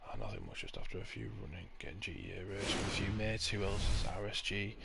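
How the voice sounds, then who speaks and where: Gravelly low-voiced man streaming a fight game. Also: sing-song, quick pace. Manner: chatty play-by-play, swears, coaches opponents. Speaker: streamer host. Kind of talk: casual live gameplay commentary. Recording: noisy stream audio with controller clicks.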